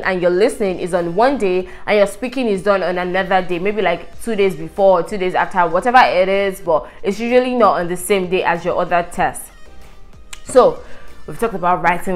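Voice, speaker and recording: Chatty young Nigerian woman explaining an exam. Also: medium pitch. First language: Yoruba. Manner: chatty, gestures a lot, frequent fillers. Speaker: vlogger giving exam tips. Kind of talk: casual explanatory vlog. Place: indoors in a bright apartment room by a window.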